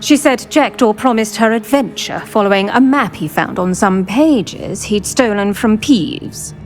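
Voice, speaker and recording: An actress playing an earnest, retired British investigator in a video game, measured and articulate in manner. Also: female voice, medium pitch, soft background music, lightly acted. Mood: earnest, concerned. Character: earnest, keen retired female investigator, older. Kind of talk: video game character acting.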